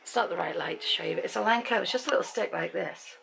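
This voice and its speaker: A woman in her fifties from Britain with an English accent, chatting to a handheld camera in a dim room at night. Her voice is croaky, and she rambles and laughs often. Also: fairly low pitch, fast talker, a crowd in the background. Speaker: vlogger. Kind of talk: casual chat to camera. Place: bedroom at night, dim lamp light. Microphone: handheld camera at arm's length.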